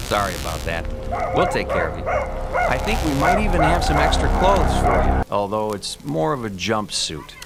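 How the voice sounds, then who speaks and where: An actor playing a calm, wry expedition leader who hunts UFO evidence, with measured, explanatory delivery in a radio drama. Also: male voice, medium pitch, heavy background noise. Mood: easygoing. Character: calm, wry male UFO investigator leading field expeditions. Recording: loud music under the voice.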